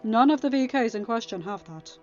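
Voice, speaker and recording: A woman's voice: on the high side, animated, a little fast, lo-fi sound, natural voice. A woman voicing a firm, formal young king presiding over a council in a story reading. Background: music.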